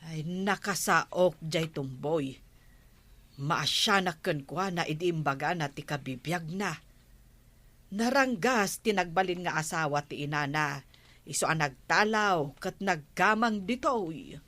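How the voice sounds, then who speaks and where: Animated, bright motherly village woman. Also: deep voice, slow, dry recording, heavily acted. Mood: gossipy, probing. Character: kindly, talkative older village woman, motherly neighbor. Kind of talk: radio drama acting.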